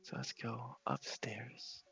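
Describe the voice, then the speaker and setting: A man's voice: medium pitch, very animated, slow, creaky, pushed and bright, highs cut off, faint music behind. A man giving casual ASMR gameplay commentary, often whispering as he thinks aloud. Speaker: game streamer.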